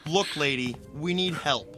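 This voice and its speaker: A man's voice: fairly high pitch, measured pace, music in the background, natural voice. Casting: man as pressing young guy seeking help, naturalistic drama, score underneath. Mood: urgent, pleading. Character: urgent young male driver pleading for help. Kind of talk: naturalistic screen drama acting.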